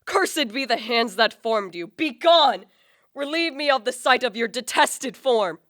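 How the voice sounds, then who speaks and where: A woman's voice: fairly high pitch, lively intonation, a little slow, a bit bright, no room sound, studio quality. A woman acting a haughty, guilt-ridden male scientist in a radio play, with formal, declamatory literary speech. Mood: furious, contemptuous. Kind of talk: dramatic radio play acting with first-person narration.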